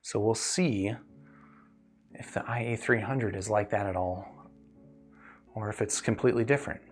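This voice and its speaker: A man hosting a casual livestream as a gear reviewer, chatting with viewers in a halting, think-aloud way. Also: medium pitch. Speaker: host, audio gear reviewer.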